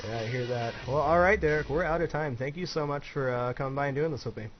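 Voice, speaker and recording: A man's voice: mid-range voice, fast, crowd noise. A man in his twenties hosting a casual chat, asking easygoing questions. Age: 20s. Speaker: host, interviewer. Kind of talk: casual chat over gameplay commentary. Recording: dull lo-fi voice-chat audio with clear background noise.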